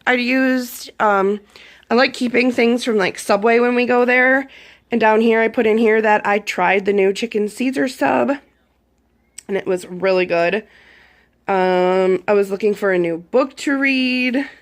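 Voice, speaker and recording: Hoarse woman, thirties, rambling casual vlog chat, lots of ums, slightly high voice. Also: a little slow. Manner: rambling, chatty, with frequent ums. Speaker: YouTube vlogger. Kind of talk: casual journal flip-through.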